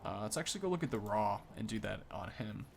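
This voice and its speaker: A man's voice: on the low side, lively intonation, quick pace, gravelly, slight background noise. Young man doing casual game commentary. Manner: informal, thinking aloud as he tests. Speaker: gaming video host.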